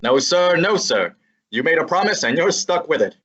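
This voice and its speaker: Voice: mid-range voice, sing-song, moderate pace, a bit bright, breathy edge, lo-fi sound. A performer playing a brash, wisecracking young assistant. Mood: needling. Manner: brash, teasing, breezy. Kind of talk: old-time radio drama acting and narration.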